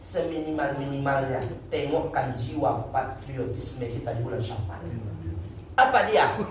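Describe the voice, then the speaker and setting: Man, lively mosque sermon, asks and answers his own questions, heavy room echo, distant mic. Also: mid-range voice, unhurried. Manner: animated, asks the audience questions and answers them himself. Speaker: Islamic preacher. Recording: echoey room, distant mic, faint noise.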